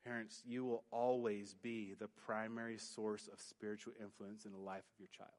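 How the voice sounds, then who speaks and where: A youth pastor preaching a sermon in church, booming then dropping to quiet emphasis. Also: male voice, little pitch movement, normal speed. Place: church sanctuary. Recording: long hall reverb. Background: hall reverb.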